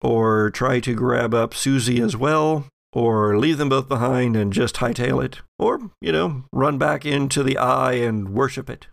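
A man narrating a horror tabletop game as game master, phrasing the scenes deliberately to his players. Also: mid-range voice, creaky, no echo, clean studio sound.

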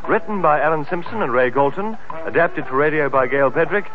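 A man's voice: on the high side, expressive, a little fast. Male announcer on tinny old radio. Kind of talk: scripted closing credits announcement.

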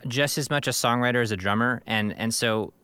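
A man's voice: medium pitch, brisk, sharp tone, smooth. A man co-hosting a podcast, chatting casually as a musician. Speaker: podcast co-host, drummer and songwriter. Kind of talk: casual chat about favorite bands.

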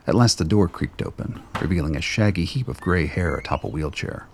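A man narrating a radio play in a measured, descriptive storytelling manner. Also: low-pitched, animated, vocal fry, slight background noise. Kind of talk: scripted narration.

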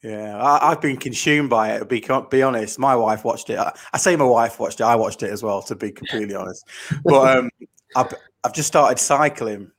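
A man's voice: mid-range voice, normal speed, a bit bright. Chatty male podcast host. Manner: chatty, upbeat, frank. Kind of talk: casual interview chat.

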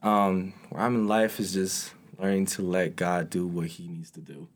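A man's voice: sits low, little pitch movement, normal speed. A man in his twenties from Ecuador, upbeat and playful, chatting as a guest on a podcast. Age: 20s. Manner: upbeat, playful, joking along. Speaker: intern. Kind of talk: casual podcast chat.

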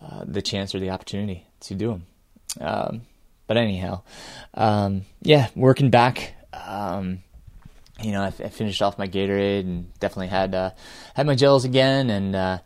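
A middle-aged man recording a reflective, unscripted audio journal as a podcast host, speaking haltingly with many filled pauses. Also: on the low side, no echo, studio quality. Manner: halting, hesitant, with frequent filled pauses and small chuckles. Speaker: podcast host and amateur ultrarunner.

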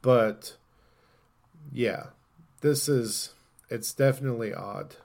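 A man hosting a casual gaming podcast, talking in an opinionated way and thinking out loud as he goes. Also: mid-range voice, takes it slowly, vocal fry. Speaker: podcast host.

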